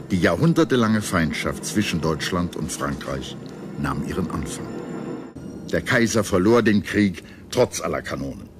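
A man reading scripted history documentary narration in a measured, solemn and dramatic manner. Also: low voice.

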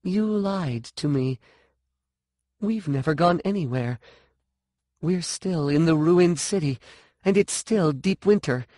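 A fierce warrior woman from an audiobook, acted out by a man, speaks in a raised pitch. She is angry and demanding, insistent and commanding, with little room sound around her. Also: lively intonation, takes it slowly, pauses often, dark tone, no echo, clean studio sound. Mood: accusing. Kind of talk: audiobook character dialogue.

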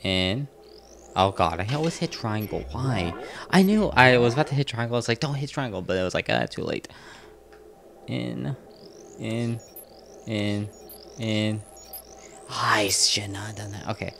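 A young man hosting a let's-play, chatting in a rambling, casual way and talking back to the enemies on screen, keeping his naturally loud voice down at home. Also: on the low side, lively intonation, unhurried, gravelly, long pauses. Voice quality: loud voice held down to a murmur. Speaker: gaming channel host. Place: home room next to a neighbor's bedroom. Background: faint game music.

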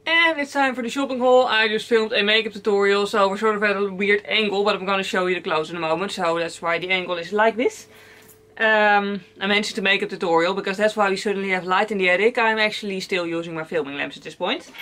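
A woman's voice: mid-range voice, fast talker, fairly bright tone. A young woman vlogger chatting casually about her clothes at home, rambling a little with many fillers and repeated words. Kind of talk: casual haul vlog chat. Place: cluttered attic room at home.

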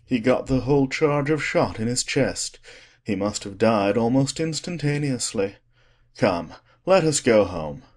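A man's voice: mid-range voice, expressive, a little slow, a little mellow. A man voicing an unruffled older aristocrat, a cynical wit with a breezy, dismissive manner, in an audiobook. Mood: calm. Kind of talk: dramatic audiobook character acting.

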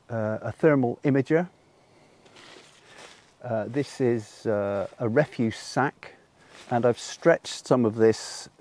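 A man's voice: mid-range voice, a little mellow. An older man with a lapel mic, explaining a demonstration outdoors in a garden in a patient way, with frequent ums. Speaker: solar cooking presenter. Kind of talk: unscripted demonstration.

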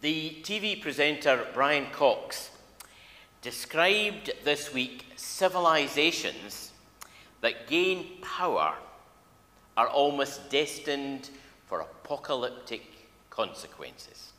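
An older man, a minister, preaching a conversational sermon in a wry, informal way with halting phrases. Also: mid-range voice, animated, pushed and bright. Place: large church, at a lectern. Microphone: gooseneck lectern microphone. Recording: echoey church hall.

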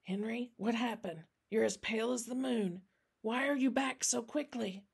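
Kindly old master for a storybook, played by a woman, weary, burdened, grave and hushed. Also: a little high, moderate pace, vocal fry, slightly rough, full character voice. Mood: concerned.

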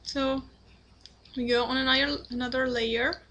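A young woman from Italy with an Italian accent, talking through a drawing tutorial in halting English, apologetic and full of fillers and restarts. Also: high-pitched, little pitch movement, fairly bright tone, a little hiss or hum. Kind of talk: hesitant screen-recorded drawing tutorial. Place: at a computer, indoors.

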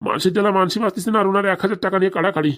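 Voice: mid-range voice, steady tone, racing, fairly bright tone, natural voice. A performer voicing quarrelsome, blustering village characters in a comedy cartoon. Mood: argumentative. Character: quarrelsome village characters, threatening elder and evasive father.

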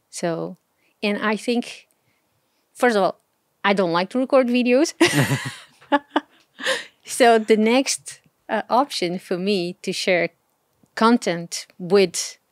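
A woman in her thirties with a Portuguese accent talks casually as an interview guest. She speaks softly and pauses often, with frequent small laughs. The sound is close and dry, with no room around it. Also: medium pitch, no echo. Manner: soft-spoken, hesitant, laughs often. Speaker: guest, travel blogger.

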